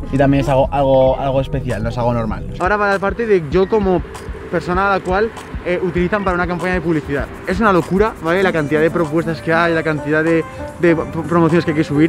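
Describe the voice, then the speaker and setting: A young man from Spain in his twenties, chatting fast to a handheld camera, explaining things to his viewers. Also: on the high side. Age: 20s. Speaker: vlogger. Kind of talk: casual vlog talk to camera. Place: car, parking lot, then room at home. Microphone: handheld camera at arm's length.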